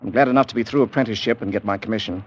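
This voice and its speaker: An actor playing an eager, ambitious young merchant navy officer in a classic radio drama. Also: male voice, on the low side, racing, close to an everyday voice. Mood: eager, admiring. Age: young adult. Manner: eager, earnest. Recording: muffled, noisy old radio recording.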